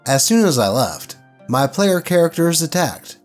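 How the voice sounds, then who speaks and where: Animated male storyteller over music. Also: fairly high pitch, normal speed. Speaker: host narrator of online tabletop horror stories. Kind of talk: scripted narration, reading posts aloud with asides.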